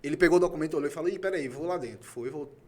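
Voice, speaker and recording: A man's voice: on the high side, racing, a little mellow. A man from Salvador with a Brazilian Bahian accent, hosting a casual conversation in an emphatic, colloquial way and acting out quoted dialogue. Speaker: podcast host.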